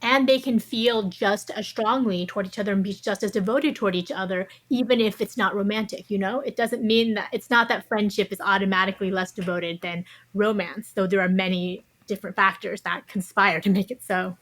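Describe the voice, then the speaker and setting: A woman's voice: medium pitch, steady tone, a little fast, hardly any pauses, a bit bright, poor audio. A woman speaking as an interview guest, explaining her subject in an articulate, conversational way.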